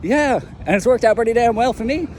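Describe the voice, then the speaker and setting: A man's voice: extremely high pitch, animated, a little fast, darkish tone, a little hiss or hum. A man voicing a brash, profane young hero in an amateur audio drama who talks bluntly and defiantly. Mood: defiant, cocky.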